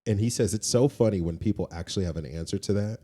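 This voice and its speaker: A man with a very low, dark and relaxed voice talks casually as a podcast co-host. His delivery is informal and slangy, and he often repeats a phrase to drive it home. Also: quick pace. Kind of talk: casual conversational talk.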